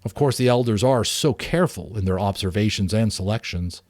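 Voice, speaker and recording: A man's voice: on the low side, brisk, darkish tone, dry recording, studio quality. A man voicing a mild, thoughtful mother in an audiobook family conversation.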